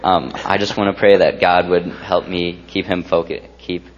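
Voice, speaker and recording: A man with a very low, flat, steady voice gives an earnest, fervent testimony. The sound is muffled, with a long hall reverb. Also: moderate pace. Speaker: young believer sharing a testimony. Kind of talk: church group testimony.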